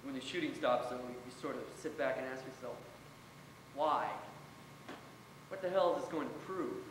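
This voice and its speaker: A man's voice: medium pitch, moderate pace, natural voice. A man performing a stage reading as a weary, wry young American soldier writing home. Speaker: readers theater performer. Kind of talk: dramatic reading of war letters. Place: college theater stage. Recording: echoey hall from afar, noisy.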